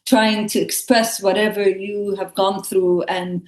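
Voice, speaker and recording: A woman's voice: medium pitch, little pitch movement, unhurried. A woman from Lebanon, speaking reflectively as a panel guest and often searching for words. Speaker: theatre artist and actress, panel guest. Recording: echoey room sound.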